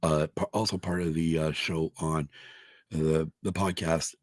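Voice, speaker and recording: A man co-hosting a casual podcast, hoarse from allergies, who rambles, restarts and swears a lot. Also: a bass voice, fairly even intonation, measured pace, no echo, clean studio sound. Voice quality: gravelly, husky. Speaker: podcast co-host. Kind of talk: casual chat.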